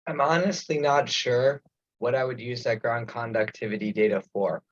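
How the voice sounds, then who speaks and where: A man's voice: mid-range voice, steady tone, normal speed, no room sound. A man speaking frankly and matter-of-factly in an informal remote committee discussion, over muffled audio. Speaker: committee member. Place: remote conference call.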